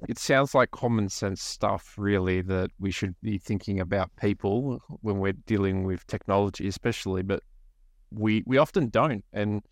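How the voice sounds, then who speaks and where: A man's voice: on the low side, moderate pace, no room sound, studio quality. A man with an Australian accent hosting a podcast in a casual interview style. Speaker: podcast host.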